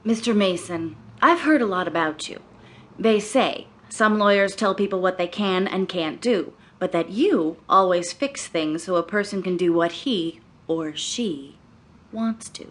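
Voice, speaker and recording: An actress playing a willful, sulky young heiress in a radio drama, terse and insistent in manner. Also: female voice, fairly low pitch, a little slow, a bit bright. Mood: insistent, demanding.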